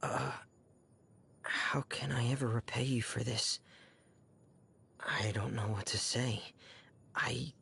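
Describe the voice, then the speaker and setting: An actor playing a shy, anxious, devoted young man in a video game, soft and breathy, with hesitant, self-effacing phrasing. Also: medium pitch, steady tone, slow, long pauses, heavily acted. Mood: overwhelmed, nervous.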